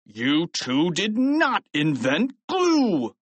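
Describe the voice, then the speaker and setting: A man's voice: high-pitched, very animated, takes it slowly, no room sound, pitched above the performer's own voice, exaggerated voice. Man putting on a blustering, angry school principal. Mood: angry, scornful. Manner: blustering, menacing, shouting. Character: gruff angry middle-aged male school principal.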